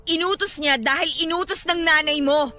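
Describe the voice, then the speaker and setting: Very high, sharp, desperate Filipino mother. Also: moderate pace. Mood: anxious, angry. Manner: heated, sarcastic, emphatic. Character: desperate, angry young mother confronting her cruel rival. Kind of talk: Filipino radio drama acting. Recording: dramatic music bed behind the voice.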